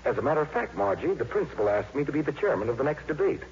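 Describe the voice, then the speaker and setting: An actor playing an affable, self-assured young high school teacher with a genial manner in a 1950s radio drama. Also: male voice, big pitch swings, racing, vocal fry, darkish tone. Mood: polite, affable. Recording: muffled, noisy 1950s radio recording.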